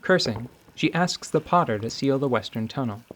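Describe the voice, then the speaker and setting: A man's voice: medium pitch, animated, normal speed, a bit bright. A man narrating a scripted fantasy story in a radio theatre style. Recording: faint background noise.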